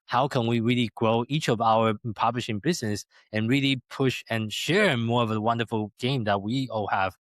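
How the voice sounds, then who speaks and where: A man's voice: mid-range voice, fairly even intonation, normal speed, hardly any pauses, no room sound. A man who is not a native English speaker, hosting a podcast and often dropping in short agreement sounds. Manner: frequent short backchannels, slightly non-native phrasing. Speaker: podcast host. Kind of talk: casual interview conversation.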